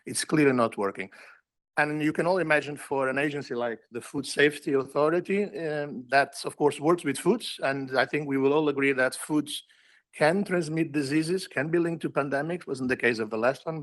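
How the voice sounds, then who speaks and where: A man's voice: on the high side, normal speed, a bit bright. A man speaking on a conference panel about his agency's work, conversational and thinking aloud with some restarts.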